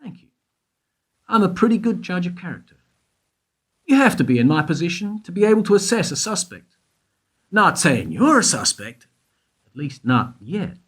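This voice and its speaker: An actor as a high-voiced, insinuating investigator. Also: male voice, very animated, breathy edge, heavily acted. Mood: smug, suspicious. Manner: insinuating, leading questions with pointed pauses. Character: probing, self-satisfied male investigator questioning a suspect. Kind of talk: radio play dialogue.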